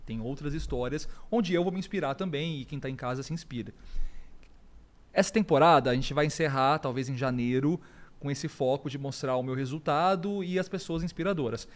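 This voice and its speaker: A man as a guest on a studio talk show, relaxed and reflective as he describes his plans. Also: a little high, a little fast. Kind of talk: casual studio interview.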